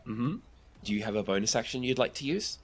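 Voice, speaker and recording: A man's voice: mid-range voice, brisk. A man running a tabletop roleplaying game as game master, narrating the action off the cuff and putting questions to the players.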